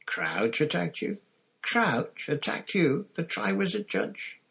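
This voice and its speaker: Guy doing a agitated foreign headmaster in an audiobook, high loud voice, accusing everyone, bit rough. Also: very animated, takes it slowly, full character voice. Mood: alarmed, incredulous.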